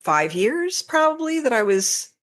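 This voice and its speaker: A woman chatting casually as an interview guest, informal and expressive, voicing her own reactions. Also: sits high, unhurried. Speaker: interview guest, fanfiction author. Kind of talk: casual chat.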